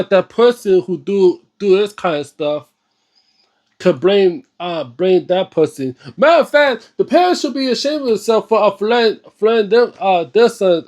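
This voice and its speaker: A man giving unscripted casual video commentary in a halting, repetitive way, searching for words, earnest and slangy. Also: sits high, a little mellow. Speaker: host of a book reading and discussion series.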